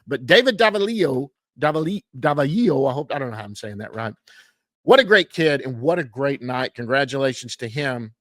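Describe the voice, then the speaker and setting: A man with a drawl hosts a casual sports podcast, running through minor league box scores. He rambles, leans on fillers and stumbles over tricky names with a folksy, self-mocking ease. His voice sits in an ordinary middle pitch, recorded close in a dry, dead room. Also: expressive, brisk, no room sound. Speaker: podcast host recapping minor league games.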